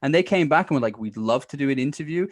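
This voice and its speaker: A man's voice: a little high, expressive, quick pace, dry recording. A man speaking as a podcast guest in a casual, chatty storytelling style, acting out bits of conversation as he goes. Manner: chatty, informal, acts out quoted exchanges.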